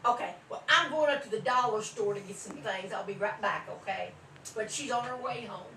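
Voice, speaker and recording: A woman's voice: medium pitch, brisk, noisy background. A woman in casual, everyday household chat. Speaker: relative chatting off-camera. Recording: roomy, echoey, loud background sound.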